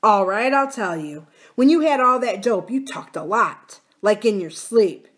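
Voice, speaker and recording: A woman's voice: mid-range voice, expressive, unhurried, a bit bright, pitched above the performer's own voice. A woman voicing a crafty, hard male farmer in an audiobook, blunt, smug and menacing. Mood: taunting threat. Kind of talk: audiobook character dialogue.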